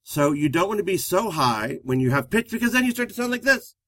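A man with a loud voice who talks fast, co-hosting a live-streamed relationship talk show. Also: high voice, dry recording. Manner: fast-talking, energetic.

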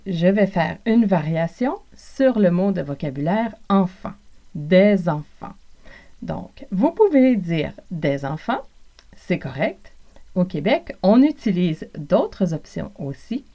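A woman with a Québécois accent hosts a French lesson podcast, speaking slowly and carefully so listeners can repeat after her. Her voice sits a little low. The recording sounds dull and lo-fi. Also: very animated. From Quebec, Montreal South Shore. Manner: clear, slow teacher's delivery, repeating phrases for practice. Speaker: podcast host and French teacher.

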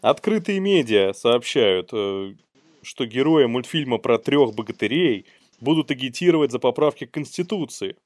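A man's voice: mid-range voice, expressive, normal speed. A man co-hosting a podcast, casually riffing on the news in slangy, rambling talk. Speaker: podcast co-host. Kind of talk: casual comic news chat.

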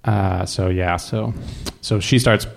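A young man telling a personal story casually, in a rambling, halting but animated way. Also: low voice, creaky.

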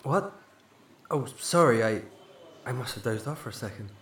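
A man's voice: mid-range voice, animated, normal speed, fairly bright tone, slight background noise, natural voice. A man playing an anxious, politely evasive bereaved husband in an audio drama, speaking hesitantly. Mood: confused.

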